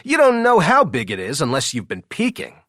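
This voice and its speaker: Man acting out a cocky, high-pitched teasing best friend. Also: wildly swooping pitch, moderate pace, a bit bright, dry recording, studio quality, full character voice. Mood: cocky boasting. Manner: brash, needling, jokey. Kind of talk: audiobook character dialogue.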